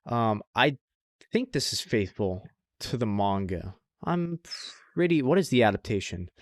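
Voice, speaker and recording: A man's voice: fairly low pitch, animated, a little slow, no echo, clean studio sound. A man hosting a podcast, talking casually and informally in a rambling way, with some casual swearing. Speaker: anime podcast host. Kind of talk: casual podcast chat, reviewing shows.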